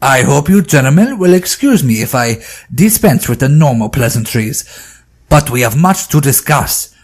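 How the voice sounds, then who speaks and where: A man playing an anxious French scholar in an audio drama, with a French accent and a husky voice. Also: mid-range voice, very animated, normal speed. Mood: concerned. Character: anxious French male scholar, old friend welcoming guests.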